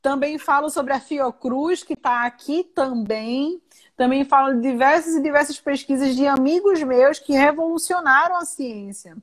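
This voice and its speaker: A woman's voice: high-pitched, moderate pace. Talkative young woman, Pernambuco accent. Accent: Pernambuco Portuguese. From Recife, Brazil. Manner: animated, talkative, many fillers and restarts. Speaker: guest, science communicator and entrepreneur. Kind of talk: video call interview.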